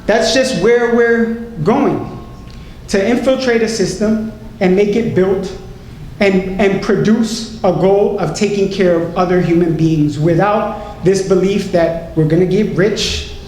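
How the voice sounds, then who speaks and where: In a very echoey hall with faint noise, a woman speaks to the public as a doctor giving a tribute. Her speech is impassioned and political, built on emphatic phrasing and repetition. Her pitch sits in the middle range. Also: takes it slowly, darkish tone. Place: large indoor hall, tribute event.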